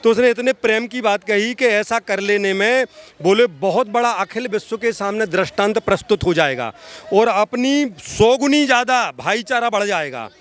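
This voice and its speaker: A man's voice: sits high, lively intonation, moderate pace, a bit bright. A man giving impassioned political opinion in an oratorical, emphatic way, repeating phrases for stress. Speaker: social activist giving street interview.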